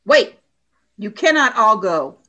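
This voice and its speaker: Woman as high-voiced, domineering alien commander. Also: sing-song, slow, pushed and bright, full character voice. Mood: coolly commanding. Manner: commanding, formal, scornful. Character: stern, domineering alien female military leader. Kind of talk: radio play acting.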